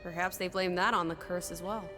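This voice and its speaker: A woman's voice: fairly low pitch, fairly even intonation, brisk, in a large hall, music in the background, close to an everyday voice. A woman playing a sociable, teasing young companion in a 1920s video game drama, full of playful old-fashioned slang. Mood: curious.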